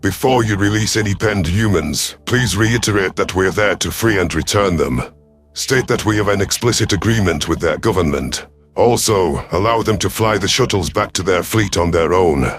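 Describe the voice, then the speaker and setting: A man's voice: low-pitched, normal speed, faint music behind. A man playing a stern, sardonic alien predator commander in an audio drama, with a commanding, growling manner.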